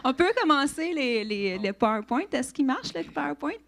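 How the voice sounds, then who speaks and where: Québécois woman in her fifties, guest church speaker, higher voice, chatty with restarts. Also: expressive, normal speed, creaky. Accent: Québécois French. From Quebec. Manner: warm, chatty, laughs often, many restarts and fillers. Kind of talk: church introduction and testimony.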